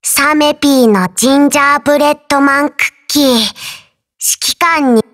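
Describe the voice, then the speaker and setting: Game mascot casting: little shark creature, innocent and childlike, high voice, drawling simple phrases. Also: female voice, steady tone, moderate pace, fairly bright tone. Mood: innocent, expectant. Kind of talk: game character voice lines.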